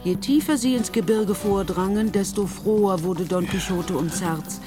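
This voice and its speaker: A narrator telling the story in a radio play, measured and literary. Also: medium pitch, over music, lightly acted.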